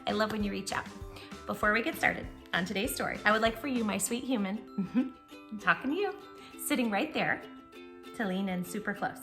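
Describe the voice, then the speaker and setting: A woman with a bright, medium-pitched voice reads and chats for a children's storytime. She speaks affectionately and directly to the child, with expressive emphasis on short phrases. Soft music plays faintly behind her. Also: brisk. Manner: affectionate, addressing the child listener directly and intimately. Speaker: children's storytime host and read-aloud reader. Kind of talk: children's storytime talk and picture-book read-aloud.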